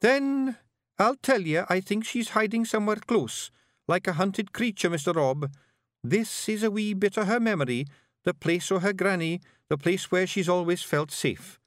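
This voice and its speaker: A man's voice: sits high, moderate pace, no echo, studio quality, voice pushed up, close to an everyday voice. A man voicing a young, nervous male pictsie apprentice bard with a Scottish accent, earnest and anxious.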